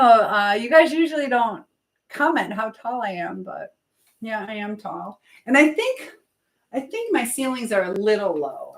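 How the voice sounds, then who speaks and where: Chatty, rambling middle-aged American woman. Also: mid-range voice. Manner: rambling, chatty, hopping between asides and replies. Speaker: live stream host. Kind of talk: casual live chat, answering viewer comments.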